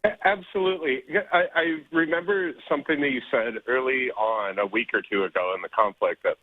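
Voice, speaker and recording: A male caller on a radio show, speaking hesitantly and stumbling over his words with many fillers. His voice sits in a middle pitch. The audio is a dry, tinny phone line. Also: a little fast, no echo.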